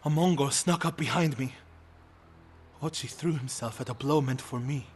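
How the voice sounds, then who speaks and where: A man's voice: medium pitch, normal speed, slight background noise, close to an everyday voice. Man acting earnest, mid-voiced warrior monk. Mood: haunted, grieving. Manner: earnest, heartfelt, weighty delivery. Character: earnest male warrior monk, loyal, grieving, burdened. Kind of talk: dramatic video game acting.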